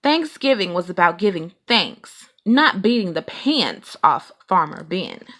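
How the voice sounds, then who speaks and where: A woman reading a children's storybook aloud in an expressive, deliberate storytelling manner. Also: on the low side. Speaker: read-aloud reader.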